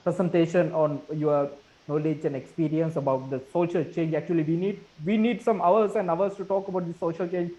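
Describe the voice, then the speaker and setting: A man's voice: on the high side, steady tone, brisk, few pauses, warm tone. A man moderating a webinar panel, thanking speakers and introducing the next one in a polite, formal way, with fillers. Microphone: video call. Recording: dull lo-fi video call audio.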